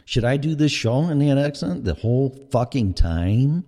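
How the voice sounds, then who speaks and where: A man's voice: mid-range voice, big pitch swings, moderate pace, dark tone. A middle-aged man with a Chicago accent, hosting a podcast in a blunt, profane and sarcastic casual rant. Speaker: podcast host.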